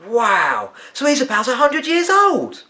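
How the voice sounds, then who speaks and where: A man's voice: very high-pitched, wildly swooping pitch, measured pace, bright, forceful tone, pitched above the performer's own voice, full character voice. A man voicing a curious, hesitant young schoolboy in a storybook, questioning and tentative. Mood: eager, curious. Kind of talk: storybook character voice.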